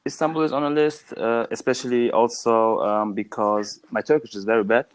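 A young man chatting casually in a calm, fluent manner as a language learner. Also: medium pitch, fairly quick. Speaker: language learner being tested in conversation. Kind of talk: casual chat.

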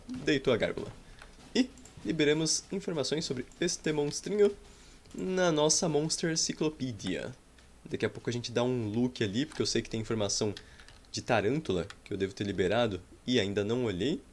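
A man giving playful, casual commentary over a game, adding his own combat sound effects, recorded at a home desk mic. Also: mid-range voice. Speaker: gaming YouTuber. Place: small room at home.

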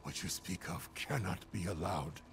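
Deep, gravelly barbarian chieftain. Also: male voice, moderate pace, a bit bright. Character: stern, proud male barbarian chieftain. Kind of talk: fantasy video game voice acting. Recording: game music behind the voice.

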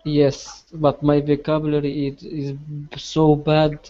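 A man talks slowly and hesitantly, feeling for his English words. His tone is mellow and the video call audio sounds dull and lo-fi. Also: on the high side, monotone. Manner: hesitant, halting English, self-deprecating laughs. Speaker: language learner. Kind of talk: casual video call chat.

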